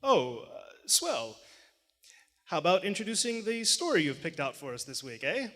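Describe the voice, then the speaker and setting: A showy old-time radio pitchman, acted by a man with grand theatrical flourish. His delivery swings widely in pitch and rings through a big reverberant theater, in the manner of 1940s live radio. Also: sing-song, normal speed, fairly bright tone, exaggerated voice. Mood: nervous. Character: booming, upbeat old-time radio announcer and pitchman, easily startled. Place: large theater stage, live performance. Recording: live theater stage, long hall reverb.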